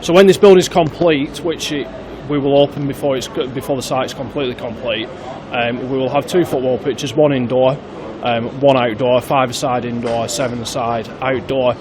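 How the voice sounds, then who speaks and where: A man's voice: medium pitch, fairly even intonation, normal speed, crowd noise. A man working as a manager, giving informative answers in an interview. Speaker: general manager being interviewed.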